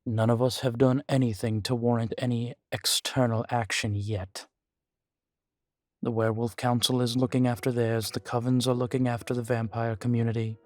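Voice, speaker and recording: A man playing a guarded, world-weary vampire informant in an audio roleplay, speaking in formal, measured phrases, proud yet fond. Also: medium pitch, level tone, dry recording, clean studio sound, natural voice. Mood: reassuring, measured. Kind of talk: scripted audio roleplay to the listener. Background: background music.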